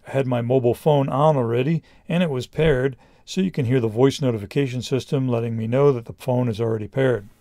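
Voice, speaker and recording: Mellow man narrating a product review. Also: mid-range voice, a little fast, hardly any pauses, no echo, studio quality.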